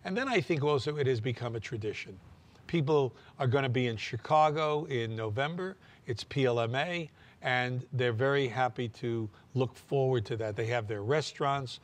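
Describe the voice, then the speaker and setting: A man with an ordinary mid-range voice answers interview questions in a measured, unhurried way. He pauses often with "uh" and slips in a dry joke now and then. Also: mid-range voice. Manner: measured, with frequent "uh" fillers and dry humor. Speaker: president of a trade association.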